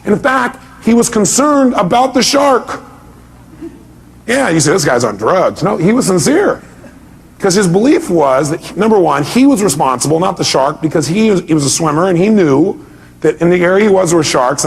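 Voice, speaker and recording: A man with a somewhat high-pitched voice speaks as a motivational seminar presenter. He addresses his audience directly and emphatically, driving each point with rhetorical questions.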